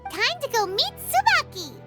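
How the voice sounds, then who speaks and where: A woman voicing a small, childlike fairy companion in a video game, cheerful, curious and squeaky, with bubbly exclamations. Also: huge pitch swings, normal speed, sharp tone, soft background music, exaggerated voice. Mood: excited, admiring. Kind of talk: video game character acting.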